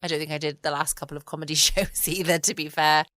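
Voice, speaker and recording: Low-pitched, chatty woman, close sound. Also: quick pace, dry recording. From England. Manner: relaxed, chatty. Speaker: podcast host. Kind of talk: casual rambling bedtime chat. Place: at home indoors, quiet.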